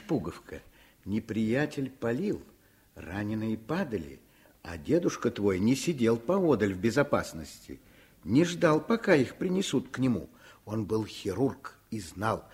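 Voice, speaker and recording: A man's voice: mid-range voice, big pitch swings, unhurried, a little mellow, slightly rough. An actor playing a passionate, affectionate father in a radio play, explaining things emphatically to his child. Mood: fervent. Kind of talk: Soviet radio play acting.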